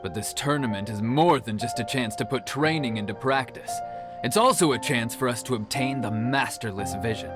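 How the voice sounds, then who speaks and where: An actor playing a proud, earnest young martial arts disciple who explains things in a video game. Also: male voice, medium pitch, normal speed, over music, close to an everyday voice.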